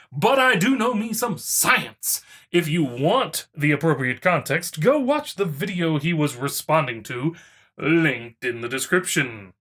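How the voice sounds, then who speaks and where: A sarcastic commentator talks off the cuff in a rough, husky voice. The delivery is blunt and dismissive, swinging freely up and down. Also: mid-range voice, fairly bright tone. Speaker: video commentator.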